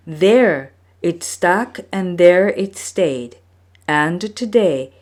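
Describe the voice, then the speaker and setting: A woman reading from a folktale book as a storyteller, with measured, deliberate phrasing. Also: on the low side, a little mellow. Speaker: storyteller, reader. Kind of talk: scripted narration of a folktale book.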